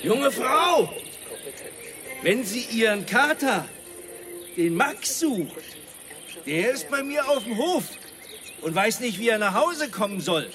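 High-pitched neighbourly man. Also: very animated, takes it slowly, pauses often, music in the background, exaggerated voice. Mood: helpful. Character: neighbourly man calling over to a young woman. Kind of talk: radio drama.

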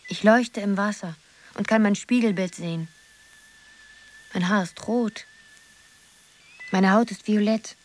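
An actress playing a dreamy, wistful young woman who muses haltingly in a poetic radio drama. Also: medium pitch, darkish tone, close to an everyday voice. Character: Zoe, dreamy young woman drifting through a surreal world.

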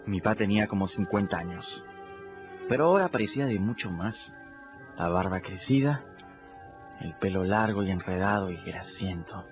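A man's voice: on the low side, lightly acted. An actor playing a scrappy, candid boy who narrates his adventures in a radio drama, confiding in the listener. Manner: conversational, confiding, addresses listeners directly. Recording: dull lo-fi radio drama with music.

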